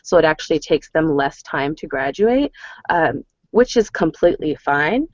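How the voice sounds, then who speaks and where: A woman's voice: low-pitched, lively intonation, moderate pace, no room sound. A woman working as a college advisor, explaining and answering questions on a conference call. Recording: dull lo-fi conference call line.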